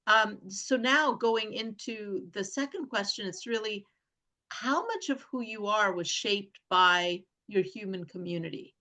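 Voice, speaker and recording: A woman hosting an interview, asking measured, probing questions. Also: medium pitch, bright, forceful tone. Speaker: interview host. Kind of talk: interview conversation.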